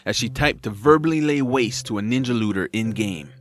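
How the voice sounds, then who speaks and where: A man's voice: mid-range voice, a little fast. A man narrating a scripted comedy audio drama in a wry, dramatic storytelling manner.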